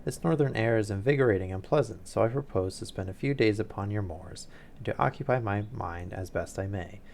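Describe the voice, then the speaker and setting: A man voicing a calm, polite male detective who speaks in a bland, measured way. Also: on the low side, vocal fry, slight background noise. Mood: bland, probing.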